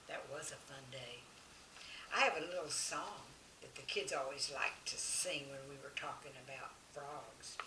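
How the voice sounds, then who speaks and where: A woman's voice: fairly low pitch, pushed and bright. A woman reading children's stories expressively and chatting directly to young listeners. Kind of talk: children's story narration with chat. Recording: roomy, distant mic, faint noise.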